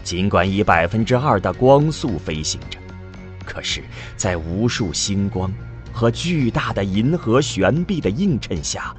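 A man giving solemn, expressive scripted narration for a science fiction audio drama. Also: lowish voice, a little slow. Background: music.

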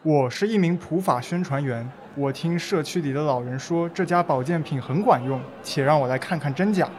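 A man's voice: a little high, moderate pace, a little hiss or hum, natural voice. A man playing an earnest legal-awareness volunteer who explains things firmly, point by point, in a radio play.

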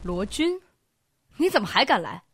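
A woman's voice: medium pitch, big pitch swings, moderate pace, very noisy. An actress playing a stern, domineering middle-aged mother in an audio drama, commanding and emphatic. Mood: angry. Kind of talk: Mandarin audio drama acting. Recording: loud music behind the voice.

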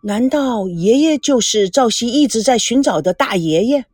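This is a careful young grandson in a storybook, questioning and amazed, played by a woman reader. He is pitched higher than her own voice, with a breathy edge. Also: animated, normal speed, darkish tone, no echo, studio quality.

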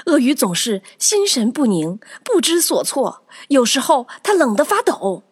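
A woman narrating a children's story in an expressive, animated scripted style, acting out the tale. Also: high-pitched, measured pace, breathy edge, no room sound, clean studio sound.

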